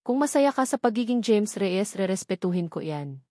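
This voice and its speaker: A woman acts out a wealthy older mother in an audiobook melodrama. She pleads with halting, remorseful words, in a medium pitch and a dry, close sound. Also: big pitch swings, warm tone, dry recording. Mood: resigned.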